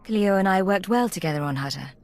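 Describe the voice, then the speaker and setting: An actress playing a composed, dutiful young imperial agent in a video game, cool, polite and formal. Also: female voice, mid-range voice, expressive, fast talker, faint music behind, natural voice. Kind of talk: video game cutscene acting.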